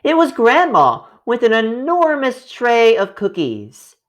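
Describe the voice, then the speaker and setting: A man reading a children's story aloud in a deliberate, expressive narrating style. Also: very high-pitched, highs cut off. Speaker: storybook reader.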